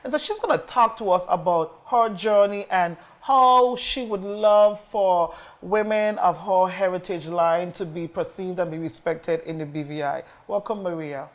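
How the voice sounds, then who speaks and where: A woman's voice: on the low side, normal speed, hardly any pauses. A woman hosts a talk show. She interviews conversationally, encouraging and affirming her guests as she goes.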